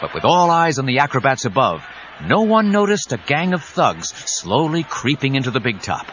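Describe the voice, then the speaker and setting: A man's voice: medium pitch, very animated, moderate pace, a bit bright, a little hiss or hum. A man narrating an audio drama in an emphatic, suspenseful storytelling style. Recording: dull 1990s cassette audio with music.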